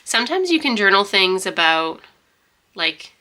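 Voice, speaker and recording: A woman's voice: mid-range voice, very animated, a little slow, bright, forceful tone. A young woman with a stuffy, congested voice, chatting casually and rambling through a journal flip-through. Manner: rambling, chatty, many fillers and restarts. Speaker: journaling vlogger.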